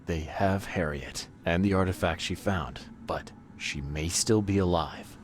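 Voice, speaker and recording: A man's voice: low-pitched, measured pace, a little hiss or hum. A man playing a terse, world-weary private detective in a video game, dry and guarded in manner. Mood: terse, businesslike. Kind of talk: video game cutscene acting.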